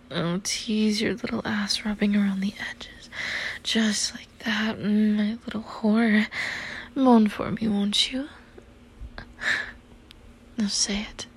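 Slow, breathy whispering woman. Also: mid-range voice, flat delivery. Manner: mostly unvoiced whisper; commanding, teasing. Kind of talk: whispered roleplay audio.

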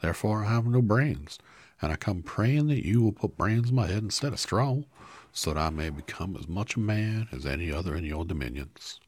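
A man's voice: low voice, animated, normal speed, darkish tone, dry recording, voice pushed up. A man doing a humble straw scarecrow. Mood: eager.

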